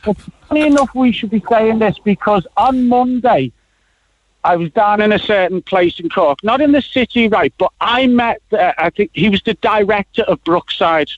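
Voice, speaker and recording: A man rambles cheerfully on a radio call, his voice sitting high for a man. The line sounds dull and dry, without any room echo. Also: moderate pace. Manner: rambling, chatty, full of "you know" and "right". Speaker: caller. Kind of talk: phone-in call.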